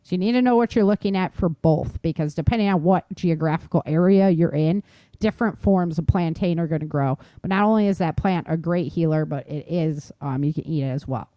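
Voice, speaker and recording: A woman's voice: deep voice, a little fast, warm tone, no echo. A middle-aged American woman hosting a podcast, talking informally and emphatically as she instructs her listeners directly. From United States. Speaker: podcast host. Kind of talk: casual instructional podcast talk.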